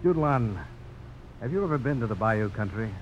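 An earnest, self-assured frontier gentleman, played by a man in a fifties radio play. He speaks deliberately at an ordinary male pitch, over the clear noise of an old broadcast. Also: animated, dull audio, lightly acted. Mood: earnest, ardent. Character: earnest, bold frontier gentleman with big ambitions. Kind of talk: 1950s radio drama acting.

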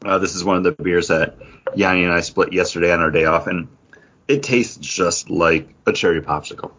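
Husky, lower-voiced man chatting casually. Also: normal speed, fairly bright tone. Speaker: podcast host. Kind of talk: casual chat. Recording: dull lo-fi audio.

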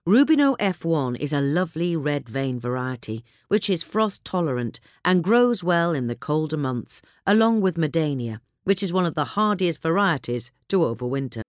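A woman narrates a scripted gardening piece with plenty of rise and fall in her pitch. The sound is dry and close, with very little room around her. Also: very animated, moderate pace, few pauses, dry recording. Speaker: article reader.

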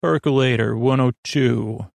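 A man hosting a sleep podcast in a creaky, soothing voice, meandering through tangents, trailing off and restarting his sentences. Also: medium pitch, unhurried, darkish tone, no echo. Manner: lulling, soothing. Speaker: podcast host. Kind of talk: rambling sleep podcast monologue.

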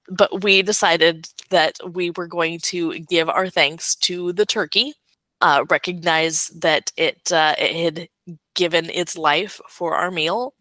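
A woman's voice: deep voice, bright, forceful tone, no echo. A young woman co-hosting a podcast in casual chat, chatty and giggling at her own stories. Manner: chatty, giggly, self-amused. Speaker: podcast co-host.

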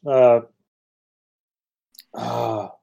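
A man's voice: medium pitch, steady tone, extremely slow, gravelly, mellow, slightly rough. A man co-hosting a casual chat show, with a dry, joking way of talking. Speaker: podcast co-host. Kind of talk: casual chat reviewing old radio serials.

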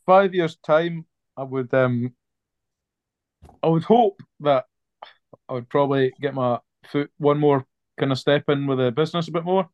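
Dry muffled recording, young man, Scottish accent, slightly high pitch, hesitant, roundabout, casual. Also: unhurried, long pauses, no echo. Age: young adult. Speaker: interview guest, young farmers club member. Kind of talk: casual interview answer.

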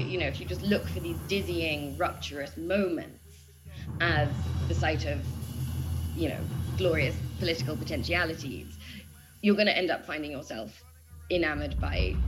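A woman with a very low voice talks through ideas as an interview guest, music clearly playing behind her. She speaks reflectively and intellectually, searching for the right phrase as she goes. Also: poor audio. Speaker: interview guest giving political analysis. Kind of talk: reflective unscripted discussion.

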